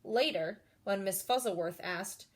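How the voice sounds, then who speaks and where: A woman reading a children's story aloud in an expressive way, pausing to address young listeners directly. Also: medium pitch. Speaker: storybook reader.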